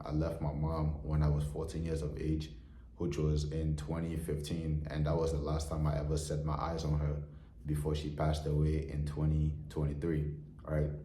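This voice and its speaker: A young man in his twenties with an extremely deep, mellow voice gives an earnest heart-to-heart straight to camera. He talks in a flat, even tone, leaning on lots of "you know" fillers. His voice rings in an echoey room. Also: normal speed. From Nigeria. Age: 20s. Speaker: vlogger. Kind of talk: motivational talk to camera.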